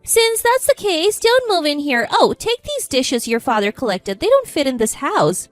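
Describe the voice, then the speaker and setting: Female narrator as scornful older matriarch, pitched high, haughty and cutting, storybook dialogue over music. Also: big pitch swings, normal speed, no room sound. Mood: cold, dismissive. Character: cold, scornful older mother-in-law. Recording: background music under the voice.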